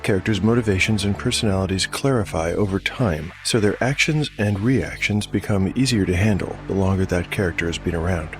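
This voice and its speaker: A man's voice: low-pitched, brisk, hardly any pauses, music in the background. A man giving scripted narration as the narrator and game master of a fantasy audio drama.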